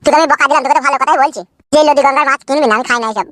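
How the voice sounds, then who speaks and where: A woman's voice: high voice, very fast, a bit bright, slight background noise, voice pushed down. A woman voicing a grumpy, bossy young husband in a comedy cartoon, talking down in a scolding way. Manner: scolding, condescending.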